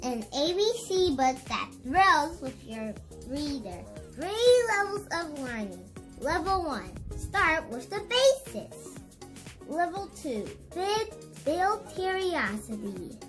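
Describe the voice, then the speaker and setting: A child host reading an educational picture book aloud in a deliberate, instructive way, speaking directly to viewers. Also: extremely high pitch, sing-song, a bit bright, soft background music.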